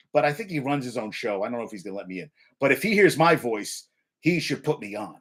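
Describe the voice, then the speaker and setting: New guy ranting sports, cussing, high voice bouncing up and down, keeps restarting sentences. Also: pushed and bright, husky. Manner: heated, profane, rambling with frequent restarts; addresses viewers in live chat by name. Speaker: sports talk show host. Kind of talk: casual sports rant.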